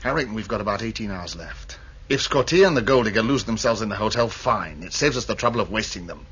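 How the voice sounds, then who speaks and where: A man's voice: lowish voice, lively intonation, quick pace, fairly bright tone, slightly rough, lo-fi sound, full character voice. An actor playing a cold, scheming, confident crewman in a science fiction radio drama, terse, calculating and dismissive.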